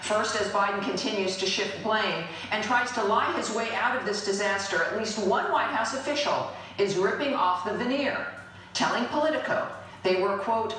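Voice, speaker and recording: A woman's voice: fairly high pitch, a bit bright, far from the mic, slight background noise. Very echoey, indignant American woman host. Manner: emphatic, accusatory, indignant. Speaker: political talk show host. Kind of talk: scripted opinion monologue.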